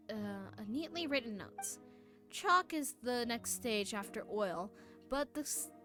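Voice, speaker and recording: A woman livestreaming a game with casual commentary, muttering asides and haltingly reading text aloud, correcting herself as she goes. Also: on the high side, a bit bright. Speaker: live game streamer. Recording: music playing behind the voice. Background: game music.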